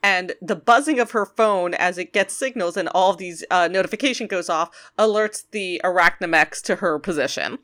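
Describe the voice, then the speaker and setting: A woman co-hosting a casual podcast, recapping an episode with bright, eager energy. She gushes over her favorite moments and uses lots of ums and likes. Her voice is clear and bright. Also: lowish voice, normal speed, few pauses. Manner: enthusiastic, gushing, many fillers. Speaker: podcast co-host.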